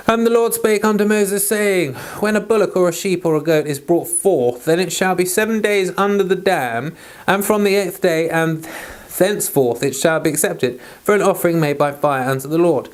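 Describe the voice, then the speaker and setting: A British man with a fairly high-pitched voice reads and teaches scripture. His delivery is informal and rambling, and he tends to interrupt himself as he explains. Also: smooth. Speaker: Bible reader and teacher. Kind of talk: read-aloud scripture and informal commentary.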